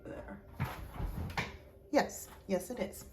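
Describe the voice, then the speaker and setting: A young woman reads tarot cards in a casual, self-deprecating way, slightly under the weather with a scratchy throat. Her voice carries some room echo and sits a little back from the microphone, with clear background noise throughout. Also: drawn-out words. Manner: chatty, self-deprecating, laughs often. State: slightly sick with an itchy throat and chest cough. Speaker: tarot reader. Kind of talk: casual tarot reading to camera. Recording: roomy echo, mic at a distance, noisy.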